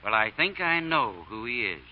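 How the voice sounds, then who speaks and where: A man playing a gruff, brusque small-town sheriff in a radio drama. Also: mid-range voice, very animated, moderate pace, natural voice. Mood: confiding. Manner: brusque, authoritative. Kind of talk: 1940s radio acting. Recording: dull old radio broadcast recording.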